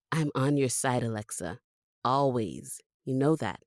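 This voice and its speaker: A wry vampire confidante, loyal but skeptical. Her voice sits low and moves with animated, expressive swings. She is blunt and sardonic, in a dry audio drama recording. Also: slow, no room sound, full character voice. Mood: protective, exasperated.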